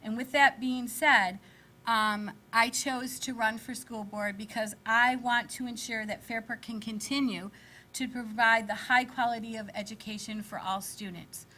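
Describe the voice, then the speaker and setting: A woman, giving an energetic, chatty candidate statement at a public forum. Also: fairly high pitch, measured pace. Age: 50s. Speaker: school board candidate.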